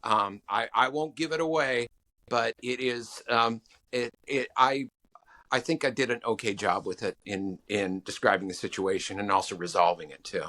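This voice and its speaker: A man being interviewed as a songwriting guest, talking in halting stops and restarts with many fillers. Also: bright, forceful tone. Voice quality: low voice. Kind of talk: podcast interview answers.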